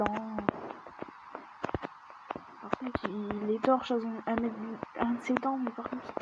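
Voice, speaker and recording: A woman talks her way through a video game in a loose, chatty let's-play style, often sinking into a near-whisper as she mutters to herself. Her voice sits on the high side. The recording is muffled and lo-fi, with a clear steady noise running underneath. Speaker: gaming video creator. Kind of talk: casual let's-play commentary. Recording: dull lo-fi mic with steady background noise.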